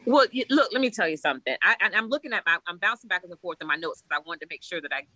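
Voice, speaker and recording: A woman's voice: fairly low pitch, lively intonation, racing, bright, forceful tone, no room sound, rough recording. A woman from Haiti, a priestess, talking bluntly and animatedly in a casual conversation, laughing often and swearing freely. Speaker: Ifa priestess guest.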